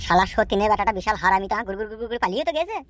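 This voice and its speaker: A man voicing a crude, cocky yet nervous gangster henchman in a comedy cartoon, whining and excitable. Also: sits high, rapid-fire. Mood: worried, grumbling. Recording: dry sound with music behind.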